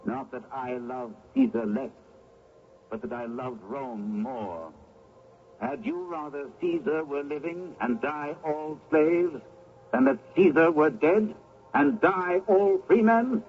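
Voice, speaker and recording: An actor playing a theatrical, fanatical conspirator who declaims grandly like a stage tragedian in an old-time radio drama. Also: male voice, mid-range voice, darkish tone. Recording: dull old radio recording with clear noise.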